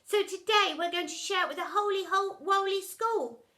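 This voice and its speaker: A woman's voice: very high-pitched, moderate pace, bright, forceful tone, pitched above the performer's own voice. A woman playing a syrupy baby-talking boy. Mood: gushing. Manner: cutesy baby talk with rhyming pet names.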